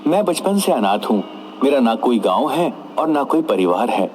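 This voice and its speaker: A man's voice: mid-range voice, sing-song, normal speed, darkish tone, a little hiss or hum, lightly acted. A man voicing a polite, sweet-talking young village vegetable seller. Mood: polite, earnest. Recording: music behind the voice.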